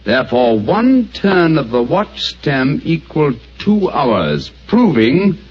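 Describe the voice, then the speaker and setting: An actor playing a calm, methodical master detective who talks in a measured, explanatory way, on an old radio drama. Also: male voice, on the high side, breathy edge, full character voice. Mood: explaining. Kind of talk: 1940s radio acting. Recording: dull old radio broadcast with faint hiss.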